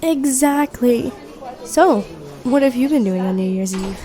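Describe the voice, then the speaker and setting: A woman's voice: a little high, lively intonation, measured pace, dark tone. A woman playing a friendly, teasing young best friend with a secret crush in an intimate ASMR roleplay. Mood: friendly, chatty. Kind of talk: intimate ASMR roleplay acting, one side of a conversation.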